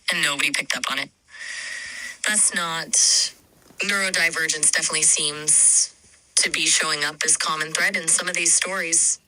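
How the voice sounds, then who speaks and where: Bright calm woman asking questions. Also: lowish voice, rough recording. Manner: calm, summarizing, gently probing. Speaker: AI chat partner. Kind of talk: conversational questioning.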